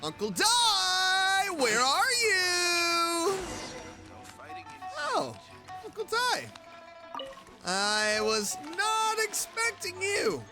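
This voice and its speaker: A woman's voice: extremely high pitch, big pitch swings, drawn-out words, pauses often. A woman doing casual let's play commentary, playful and chatty, reacting aloud to game characters. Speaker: streamer playing and reacting to a game. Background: game music.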